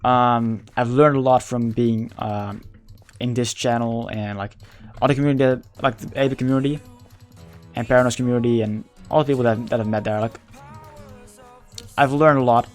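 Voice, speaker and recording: A male streamer whose first language is Dutch chats casually in English with a lingering Dutch accent. His pitch sits in the middle of the male range. He talks haltingly, restarting phrases as he hunts for words, over faint background music. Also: steady tone. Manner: halting, searching for words, many repeated starts. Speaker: livestreamer. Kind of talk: casual livestream chat.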